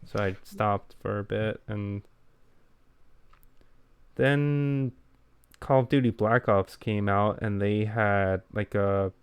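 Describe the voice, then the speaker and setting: Low key guy on a podcast, pretty monotone, dry close sound, casual chat. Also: slow, long pauses, clean tone, no room sound. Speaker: podcast co-host who makes gaming videos.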